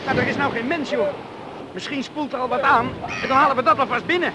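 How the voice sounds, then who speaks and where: Eager young lifeboat lad, loyal type, very high voice, radio play, loud noise all round. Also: male voice, fast. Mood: eager, insistent. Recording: loud storm and vehicle-like noise.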